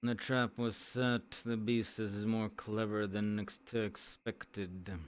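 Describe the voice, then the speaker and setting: Low, smooth-voiced man as stoic hunter. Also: flat delivery, slow pace. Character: stoic seasoned male werewolf hunter. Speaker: roleplayer playing a monster hunter. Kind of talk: improvised roleplay dialogue.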